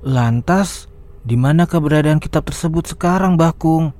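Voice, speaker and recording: A man's voice: a little high, normal speed, mellow, close to an everyday voice. A man playing an eager young grandson. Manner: eager, questioning.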